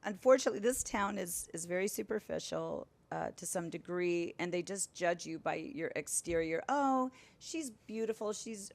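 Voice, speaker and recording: A middle-aged woman entertainer, a guest in an interview, talking in a casual, animated and emphatic way. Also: mid-range voice, vocal fry. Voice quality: powerful voice.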